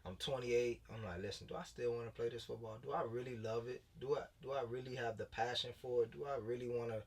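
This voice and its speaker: Man in his late twenties, relaxed casual storytelling, lots of fillers, mid-pitched voice, set back from the mic. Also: fast talker, gravelly, recorded from a distance. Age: late 20s. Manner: loose, laid-back storytelling with many fillers and restarts. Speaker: guest, former pro football player turned high school coach. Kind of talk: casual interview talk.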